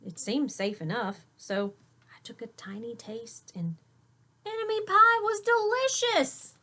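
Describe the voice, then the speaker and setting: A woman reading a children's storybook aloud like a teacher, expressive and deliberate, stressing key words. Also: sits high.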